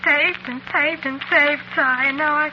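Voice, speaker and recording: An American actress playing a distraught, naive young waitress, tearful, halting and pleading, in a 1940s radio drama. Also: female voice, high-pitched, steady tone, lightly acted. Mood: despairing. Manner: halting, pleading, repeating herself. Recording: muffled, noisy old radio recording.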